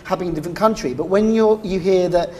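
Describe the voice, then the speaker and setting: A woman's voice: lowish voice, racing, mellow. A woman from the UK hosting a TV interview, chatty and leading her guests with lots of "I mean". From United Kingdom. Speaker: TV talk show host. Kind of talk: broadcast interview.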